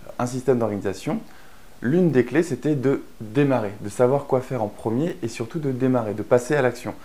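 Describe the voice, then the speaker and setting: Mellow-voiced French man teaching a course. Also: mid-range voice. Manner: chatty, many fillers and restarts. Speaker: online course instructor. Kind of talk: explanatory course narration.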